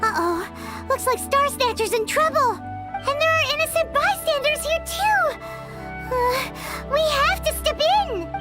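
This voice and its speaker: A woman voicing a tiny, chirpy floating female companion in a video game, with a high, bubbly voice that calls herself by name. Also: lively intonation, unhurried. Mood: worried. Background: game music.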